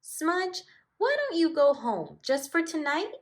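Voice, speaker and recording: A woman's voice: high voice, very animated, slow pace, pitched above the performer's own voice, exaggerated voice. A woman voicing an anxious, kindly little mole in a children's storybook, in a worried, pleading manner.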